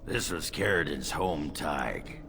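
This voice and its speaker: An actor playing a gruff, coarse dwarf warrior with a gravelly voice in a fantasy video game. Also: male voice, rumbling low, slow pace, background noise, full character voice. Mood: gruff, explaining. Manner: blunt, coarse.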